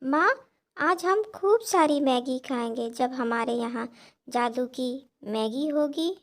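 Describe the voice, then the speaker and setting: A woman voicing an excited, eager young village child in a cartoon story. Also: sits high, takes it slowly.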